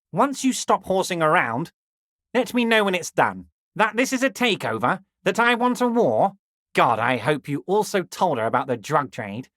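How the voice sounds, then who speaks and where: Smug, animated old union boss. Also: male voice, high voice, no echo, clean studio sound, heavily acted. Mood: knowing, needling. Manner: chummy, mock-innocent, sly. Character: smug, wily, folksy corrupt union boss, older man. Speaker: voice actor. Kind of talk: video game dialogue acting.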